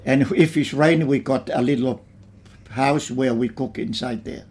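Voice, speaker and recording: Brief: older man from Tonga, interviewee, unhurried and plain-spoken, mid-pitched voice. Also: darkish tone. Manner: slow, plain reminiscing with simple phrasing. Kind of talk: oral history interview.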